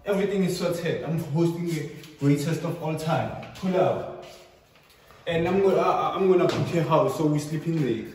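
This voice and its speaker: A man's voice: fairly high pitch, normal speed, a little mellow, recorded from a distance, a little hiss or hum. Laid-back young man in echoey empty rooms. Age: young adult. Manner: laid-back slangy talk, repeats "you feel me", "type shit". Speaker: YouTuber giving a house tour. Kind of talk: casual vlog tour. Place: large bare-walled house, indoors. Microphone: phone camera held by someone else, from a distance.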